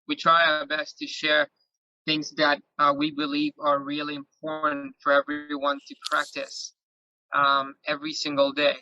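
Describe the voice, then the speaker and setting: A man co-hosting a fitness group call speaks encouragingly, with "uh" fillers along the way. His voice is fairly high, very bright and tense, and stays on an even line. The call audio is dull and lo-fi. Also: no echo. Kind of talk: wrap-up to a workshop group.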